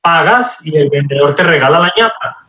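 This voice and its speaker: Colombian male actor guest, slightly high voice, casual explainer, roomy echo. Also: lively intonation, normal speed, sharp tone. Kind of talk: casual chat, explaining slang with examples. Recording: roomy, echoey sound.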